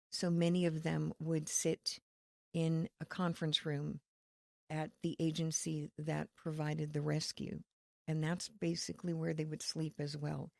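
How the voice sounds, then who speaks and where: A woman with a low, slightly gravelly voice explains her ministry work in a steady, earnest way. She is from Connecticut and speaks with quiet faith and conviction. Her voice is recorded dry and close. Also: dry recording. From Connecticut, USA. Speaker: ministry leader, guest. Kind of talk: podcast interview.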